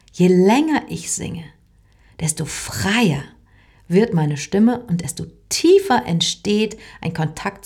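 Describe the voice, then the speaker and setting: A middle-aged woman voice teacher explaining her work in a calm, thoughtful way, sometimes dropping into a soft whisper. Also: on the low side. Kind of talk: reflective explanatory monologue.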